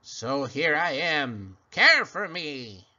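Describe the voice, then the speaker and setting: A man voicing a small, bossy female sand creature who cackles and speaks slowly and commandingly. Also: a little high, big pitch swings, bright, forceful tone, exaggerated voice. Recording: dull lo-fi sound.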